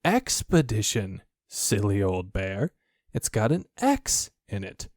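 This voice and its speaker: A man's voice: lowish voice, wildly swooping pitch, slow, darkish tone, no room sound, studio quality, heavily acted. A man voicing a confident young boy in a storybook, explaining things with careless, breezy authority. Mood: breezy, assured. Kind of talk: storybook character voice.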